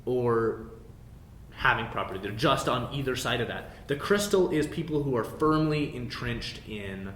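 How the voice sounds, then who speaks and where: A man's voice: expressive, measured pace, fairly bright tone. A man running a tabletop game as game master, narrating in a casual, informal and self-deprecating way. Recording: roomy echo, low rumbling background noise.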